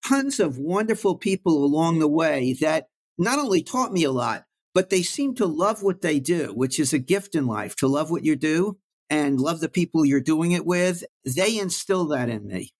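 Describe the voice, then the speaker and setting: An older man from Brooklyn, telling stories about his career in a warm, reflective way as an interview guest. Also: on the high side, expressive, moderate pace. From Brooklyn, New York. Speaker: guest oncologist. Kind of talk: storytelling in an interview.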